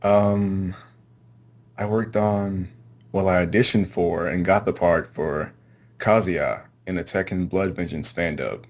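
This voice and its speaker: A man answering interview questions casually, in a low-key, slightly hesitant way. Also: slow pace. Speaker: amateur voice actor, interview guest.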